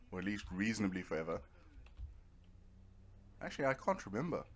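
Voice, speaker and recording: A man giving casual, rambling let's play commentary, thinking aloud as he goes. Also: low voice. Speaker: gamer narrating own playthrough.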